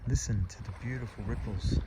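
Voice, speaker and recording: A man leading a guided meditation in a calm, soothing manner, giving slow instructions with long pauses. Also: medium pitch. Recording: loud water and wind ambience. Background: loud ocean water ambience.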